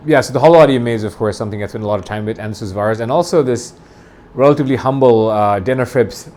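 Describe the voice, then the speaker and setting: A man's voice: on the low side, darkish tone, slight background noise. A man in his forties from Bangladesh, talking through a review unscripted, enthusiastic and rambling, often restarting his phrases. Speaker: audio gear reviewer.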